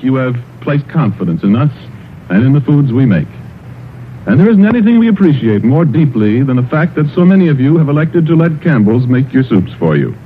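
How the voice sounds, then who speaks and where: Lively American radio announcer man, tinny sound. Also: fairly high pitch, a little hiss or hum. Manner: formal, polished, earnest. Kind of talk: 1940s radio sponsor announcement. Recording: tinny vintage radio, faint music.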